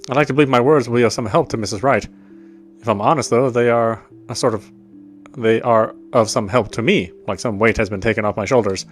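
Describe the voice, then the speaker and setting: A man's voice: medium pitch, brisk. Man reading game narration over faint music. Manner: stumbles and rereads phrases. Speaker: let's player reading game text aloud. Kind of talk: reading visual novel narration aloud.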